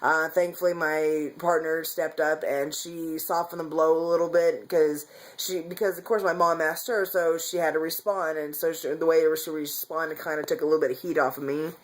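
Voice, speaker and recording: A man's voice: a little high, little pitch movement, normal speed, few pauses. A man talking candidly in a casual personal vlog, rambling with frequent restarts and self-corrections. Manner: candid, confessional. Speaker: vlogger.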